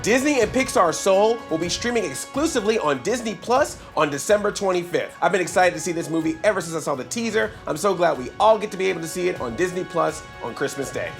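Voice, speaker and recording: Man, talk show co-host, enthusiastic, gravelly, faint music behind. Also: high voice, fast talker, hardly any pauses. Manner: enthusiastic, excitable fan. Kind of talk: scripted talk show hosting.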